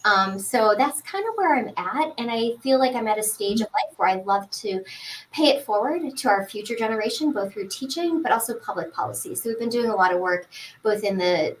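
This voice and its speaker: A woman's voice: medium pitch, slight background noise, low-quality recording. A woman in her forties, a pharmacist guest in a podcast interview, talking earnestly and fluently with frequent fillers and restarts. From United States.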